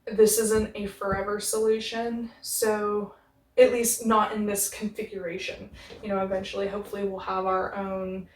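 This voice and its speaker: A young woman vlogging casually about organizing shoes, upbeat and chatty with a booming voice, recorded on a camera mic in a small bedroom. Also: little pitch movement, normal speed. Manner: chatty, upbeat, says she has a booming voice. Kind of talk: casual how-to vlog. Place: small bedroom with shoe bins. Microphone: camera mic, close then from across the room. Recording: echoey room.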